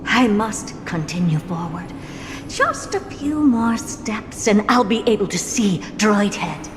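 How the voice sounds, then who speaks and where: A woman's voice: mid-range voice, very animated, slow, background noise. An actress playing a haughty, sardonic genius woman scientist in a video game, condescending, theatrical and commanding. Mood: alarmed, insistent. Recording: long hall reverb with music behind.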